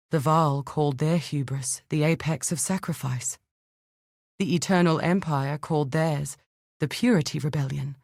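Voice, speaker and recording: An actress playing an earnest, thoughtful scholar in exile in a video game, speaking in a measured, articulate and grave way. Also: female voice, low voice, darkish tone, no room sound, clean studio sound. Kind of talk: scripted dramatic game dialogue.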